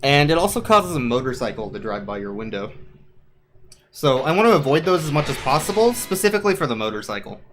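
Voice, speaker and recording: A man's voice: medium pitch, very animated, fast talker, fairly bright tone. Casual man commentating over game music. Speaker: speedrunner.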